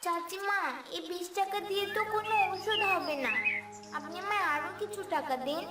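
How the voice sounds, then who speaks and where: Woman as little village girl, high voice, innocent, pleading, cartoon fairy tale, music behind. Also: moderate pace. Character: earnest, anxious poor little village girl. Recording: roomy, distant, loud music bed.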